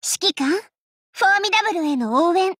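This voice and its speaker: A woman's voice: very high voice, expressive, brisk, fairly bright tone, no room sound, studio quality. A woman voicing an elegant young lady idol in an anime game, proud and playful, with refined, teasing, theatrical speech and an easily flustered streak. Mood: playful, composed.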